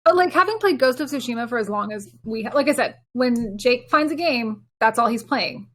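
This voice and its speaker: Higher-pitched woman chatting casually. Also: expressive, a little fast, fairly bright tone. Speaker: podcast co-host. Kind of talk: casual chat about video games.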